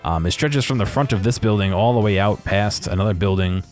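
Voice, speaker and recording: A man with a low voice talks through game footage as its video creator. His commentary is casual and unscripted, with a halting, hesitant flow. Music plays behind him. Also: a little mellow.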